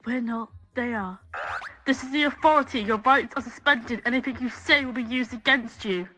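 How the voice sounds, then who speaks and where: A woman's voice: a little high, normal speed, pushed and bright. A young woman playing a stern, clipped detective chief in a dystopian audio drama. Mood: commanding. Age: young adult. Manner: official, clipped. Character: stern, commanding female detective chief of an authoritarian police.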